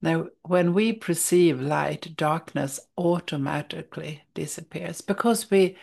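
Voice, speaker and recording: Slow, gravelly Swedish-accented woman. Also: low-pitched. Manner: thoughtful, searching for words, soft restarts. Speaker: spiritual study teacher. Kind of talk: reading aloud and reflecting on a spiritual text.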